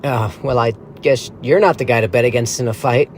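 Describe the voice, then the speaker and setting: A man's voice: mid-range voice, fairly quick, a little hiss or hum, lightly acted. A man playing a teasing, wisecracking young soldier in an audio drama, jokey and casual.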